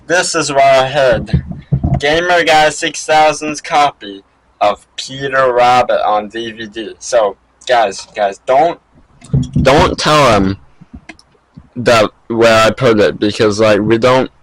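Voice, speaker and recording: A man hosting a goofy comedy vlog, raspy from a cold, talking hesitantly with many ums and restarts. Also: medium pitch, slow, poor audio. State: has a cold. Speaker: vlog host.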